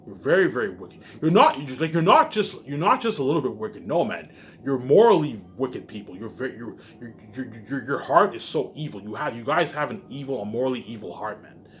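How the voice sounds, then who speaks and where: Man, rapid speech, medium pitch, confrontational video rant, repetitive, sweary, faintly noisy recording. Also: sing-song, a bit bright. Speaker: online video maker. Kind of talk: unscripted rant to camera.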